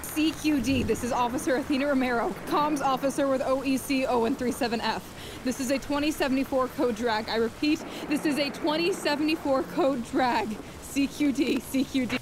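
A woman's voice: high-pitched, a little fast, close to an everyday voice. A woman playing an anxious, dutiful communications officer in a science fiction audio drama. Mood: urgent, formal. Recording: noisy rain and storm effects.

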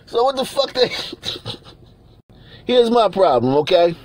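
A man's voice: high voice, sing-song, takes it slowly, a little mellow. A man from Nigeria giving blunt, profane, repetitive commentary over pictures, often laughing between points.

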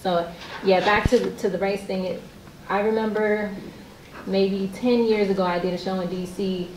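A young adult woman painter chatting casually on a panel stage, animated and full of fillers. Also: medium pitch, moderate pace, slight background noise. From Puerto Rican heritage. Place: large hall, on stage. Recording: echoey hall from far off.

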